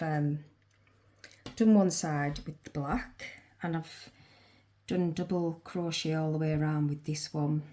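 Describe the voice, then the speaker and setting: An unhurried woman with a Northern English accent explains her crochet project, chatting half to herself as she works. Her voice sits low and mellow, thickened by a sniffly cold. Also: slight background noise. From England. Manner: chatty, talks to herself while working. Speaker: crochet tutorial host. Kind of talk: casual crochet demonstration.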